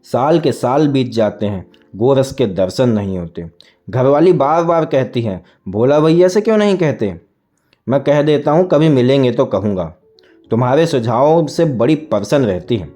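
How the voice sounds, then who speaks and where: A man's voice: medium pitch, expressive, moderate pace, warm tone, soft background music, natural voice. A man voicing a wily middle-aged village farmer in a storybook, ingratiating and folksy in his rustic speech. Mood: flattering.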